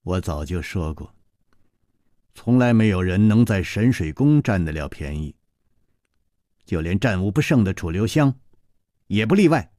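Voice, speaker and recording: A man's voice: sits low, expressive, unhurried, long pauses, mellow, no room sound, clean studio sound. A man doing a proud, vicious female disciple. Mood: sneering.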